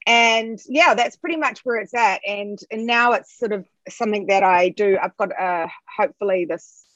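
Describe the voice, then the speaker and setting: A woman's voice: mid-range voice, moderate pace, no echo. Kiwi woman rambling about art, lots of ums, kinda muffled lo-fi audio. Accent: New Zealand. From New Zealand. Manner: chatty, animated, many fillers and restarts. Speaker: podcast guest, artist. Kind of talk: casual interview chat.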